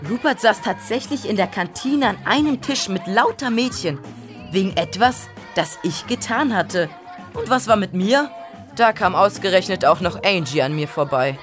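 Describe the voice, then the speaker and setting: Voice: medium pitch, lively intonation, moderate pace, a bit bright, soft background music, a faint crowd, natural voice. An actor playing a wry, self-centred schoolboy narrating his diary in an audio drama. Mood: indignant. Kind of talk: scripted first-person story narration.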